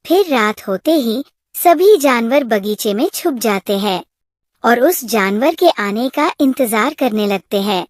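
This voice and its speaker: A woman's voice: a little high, lively intonation, measured pace. A woman narrating a scripted moral story for a cartoon.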